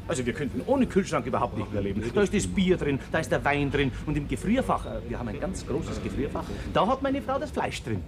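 An actor playing a chatty, persuasive married café guest who talks in an insistent, homely, repetitive way in a radio play. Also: male voice, a little high, big pitch swings, fast talker, hardly any pauses, over music. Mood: eager, persuasive. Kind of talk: radio drama small talk.

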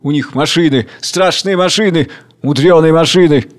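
A man's voice: a little high, normal speed, voice pushed up, close to an everyday voice. A man playing a panicked crowd of workers. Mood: alarmed. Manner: panicky, clamoring. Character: frightened crowd of workers, shouting together.

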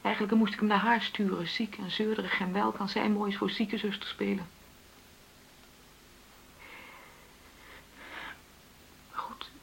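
An actress playing a hurt, argumentative middle-aged wife in a Dutch radio play, with a pointed, insistent way of questioning. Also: female voice, medium pitch, level tone, fairly quick, gravelly, a bit bright, a little hiss or hum, rough recording. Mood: bitter confession.

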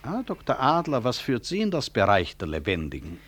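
A man's voice: mid-range voice, wildly swooping pitch, fairly quick, fairly bright tone. An actor in a radio drama playing a proud middle-aged male clinic director with a dry, sardonic, formal manner. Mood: courteous authority.

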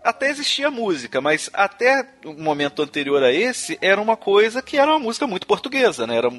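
A man's voice: high voice, very animated, fast, fairly bright tone, soft background music. A Brazilian man hosting a podcast, chatting casually about history with frequent restarts and tag questions. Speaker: podcast host. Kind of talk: casual conversational chat on music history.

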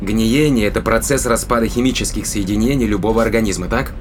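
An actor in an audio drama playing a determined spaceship captain who reasons emphatically and deliberately. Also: male voice, fairly low pitch, a little hiss or hum, lightly acted. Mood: calculating.

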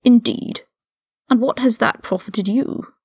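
A woman voicing an older aristocratic male wizard prisoner, haughty and mocking, with measured, condescending, formal speech in an audiobook-style story reading. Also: on the high side, darkish tone, husky, dry recording, clean studio sound, voice pushed up. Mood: quietly menacing.

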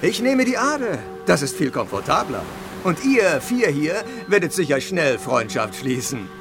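A sardonic horror-drama villain with a creaky, gravelly edge. He gloats theatrically in a high male register, swinging widely in pitch. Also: very animated, moderate pace, music in the background. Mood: gloating, mocking. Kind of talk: radio drama acting.

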